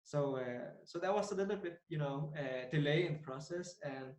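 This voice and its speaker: A man's voice: fairly high pitch, normal speed, a little mellow, echoey room. A man in his twenties from Denmark, talking casually as a podcast guest, hesitant with many fillers and restarts. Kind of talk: casual interview talk.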